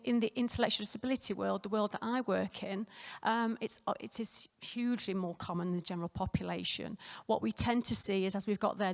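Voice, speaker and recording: A woman nurse presents at a conference in a croaky, gravelly voice. She talks conversationally, with plenty of fillers and restarts. Her voice carries a long reverb through a hotel conference room. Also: mid-range voice. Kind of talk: conference talk.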